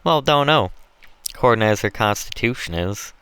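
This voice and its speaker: A man's voice: mid-range voice, expressive, normal speed, fairly bright tone, clear, resonant tone, dry recording, voice pushed up. A man voicing a coarse, boastful Southern slave owner in an audiobook, with blunt, folksy dialect. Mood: callous, matter-of-fact.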